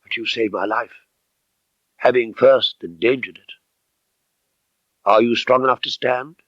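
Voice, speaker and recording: A man voicing a proud male baronet weak from fright, faint and halting, in an audiobook story. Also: medium pitch, fairly bright tone, no echo, lo-fi sound. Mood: shaken, weak.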